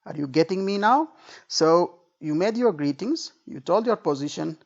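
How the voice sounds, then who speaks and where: Creaky-voiced male tutor, dull recording. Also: sits high, animated, normal speed. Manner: didactic, repetitive, checking understanding with frequent okay and right. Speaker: medical exam tutor. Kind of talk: informal spoken lecture with role-played example phrases.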